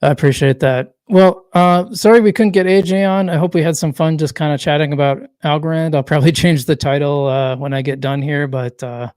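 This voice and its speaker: A man hosting a casual solo livestream, rambling and correcting himself as he reads chat comments, his voice worn and going hoarse. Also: on the high side, steady tone, brisk, hardly any pauses, darkish tone, no room sound. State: losing his voice. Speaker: livestream host.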